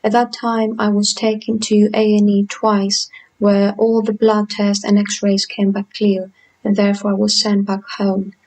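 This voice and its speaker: A woman in her twenties from Greece, calmly reading her own patient testimony. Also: medium pitch, measured pace, low-quality recording. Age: 20s. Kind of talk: read personal testimony.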